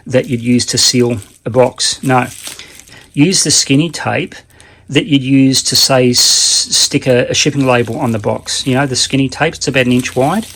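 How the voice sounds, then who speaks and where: Gravelly, casual Australian man explaining things. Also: medium pitch, fairly bright tone. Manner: rambling, conversational, plain-spoken asides. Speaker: online seller giving a how-to demonstration. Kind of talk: casual unscripted how-to talk.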